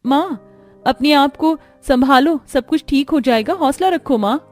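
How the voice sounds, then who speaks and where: A woman voicing a kind, dutiful young stepdaughter in a cartoon, gentle and caring. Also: high-pitched, unhurried, soft background music, pitched above the performer's own voice. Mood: consoling.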